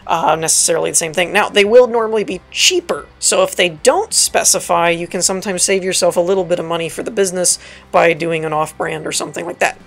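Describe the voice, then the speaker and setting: A woman with a raspy, dry voice giving casual let's play commentary, reading game text aloud and correcting herself as she goes. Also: on the low side, fairly even intonation, fast. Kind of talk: casual gameplay tutorial commentary.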